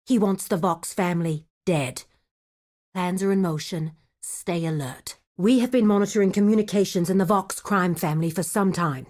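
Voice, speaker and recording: A woman playing a composed, dutiful lieutenant to a crime boss in a video game, speaking in a measured, formal, businesslike way. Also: mid-range voice, darkish tone, lightly acted. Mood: focused, businesslike. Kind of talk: video game character voice acting.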